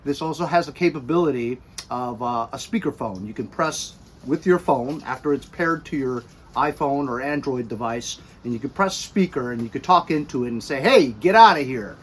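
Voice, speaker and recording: A middle-aged American man giving a casual, chatty product review with animated emphasis, recorded by a camera a few feet away in a garage. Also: mid-range voice, normal speed, slight background noise. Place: cluttered garage or workshop.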